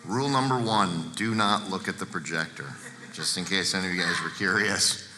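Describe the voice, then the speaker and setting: A deep-voiced man gives a candid seminar talk, speaking plainly and turning often to the audience. His low voice has a bright, forceful edge. The sound rings with the long echo of a large hall. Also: normal speed, a little hiss or hum. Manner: candid, plain-spoken, addresses the audience directly. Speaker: guest speaker. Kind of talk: seminar talk to a live room. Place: large seminar room with a projector. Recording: echoey hall.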